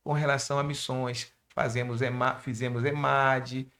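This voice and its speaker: A man's voice: medium pitch, flat delivery, moderate pace, fairly bright tone. A Brazilian man with a Bahian accent, a pastor and missionary telling his testimony in a church interview and speaking directly to young listeners. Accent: Bahian Portuguese. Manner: preachy, addresses youth directly.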